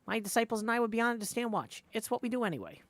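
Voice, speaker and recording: An eager, obliging guard from a video game, voiced by a man, pitched high. He pitches things like a breezy salesman, chummy and proud, with lively swings in tone. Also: rapid-fire, heavily acted.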